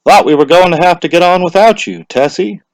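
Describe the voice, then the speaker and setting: A man voicing a brisk, genial small-town official in a story, folksy and businesslike as he calls out to villagers. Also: sits high, no room sound, pitched above the performer's own voice, natural voice, low-quality recording. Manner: folksy, businesslike, calling out to a crowd.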